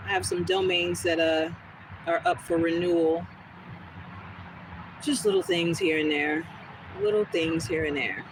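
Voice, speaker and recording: A woman's voice: on the low side, measured pace, long pauses. Chatty woman live-streaming outdoors. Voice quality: smooth, soothing voice. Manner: relaxed, rambling, talks back to chat comments. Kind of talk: casual live-stream chat with viewers. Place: outdoors on a quiet street. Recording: noisy outdoor phone recording.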